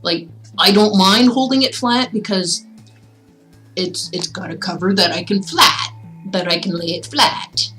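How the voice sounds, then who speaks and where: A chatty woman with a mid-pitched voice hosts a casual reading livestream. She speaks expressively and drifts easily into asides to her viewers, with music playing behind her. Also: unhurried, bright, forceful tone. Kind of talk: casual livestream chat with storybook reading aloud. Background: background music.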